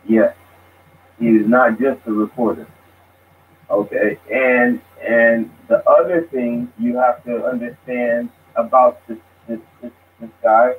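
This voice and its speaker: A man speaking casually as a guest, halting and restarting his sentences. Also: mid-range voice. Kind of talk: casual discussion. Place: lives abroad. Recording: tinny phone line with faint noise.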